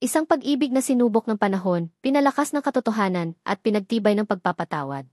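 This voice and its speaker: A woman's voice: medium pitch, expressive, quick pace, a little mellow, no echo, clean studio sound. A woman reading a scripted first-person romance story as narrator, in a dramatic storytelling manner.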